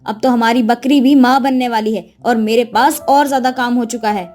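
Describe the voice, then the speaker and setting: Lady doing old village saas for a cartoon, naggy n bossy, high voice, faint music behind. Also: steady tone, normal speed, darkish tone, close to an everyday voice. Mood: weary scolding. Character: elderly village mother-in-law, hardworking, fussy, doting on goat. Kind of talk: Hindi animated moral story dialogue.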